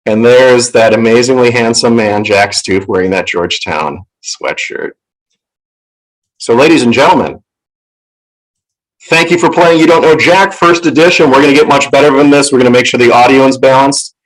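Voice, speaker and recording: A man with a loud voice hosting a casual live stream in a playful, showman-like way, close to the microphone. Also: mid-range voice, moderate pace, poor audio. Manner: playful showman, theatrical announcing. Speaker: show host. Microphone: close professional microphone on an online call.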